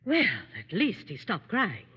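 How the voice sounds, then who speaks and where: A woman's voice: on the high side, wildly swooping pitch, unhurried, husky, heavily acted. An actress playing a fussy, critical, meddling older mother-in-law in a vintage radio drama. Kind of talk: 1930s-40s radio drama acting. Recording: muffled, echoing old radio recording.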